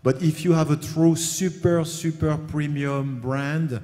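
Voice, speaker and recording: A man's voice: fairly high pitch, steady tone, unhurried, mellow. A middle-aged man from France speaking on a conference panel, hesitant, with many fillers as he talks through his slides. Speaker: panel speaker, company founder. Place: conference hall. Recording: long reverb of a hall.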